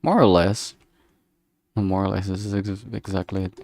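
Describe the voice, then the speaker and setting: A man talking casually on a work-along livestream, rambling and halting as he thinks aloud. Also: sits low, steady tone, darkish tone. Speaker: livestreamer making a visual novel.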